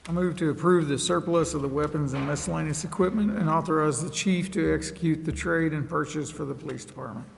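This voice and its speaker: A woman's voice: deep voice, quick pace, creaky, few pauses. A woman speaking as a council member, giving formal motions and brief votes in a chamber. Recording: reverberant chamber with background noise.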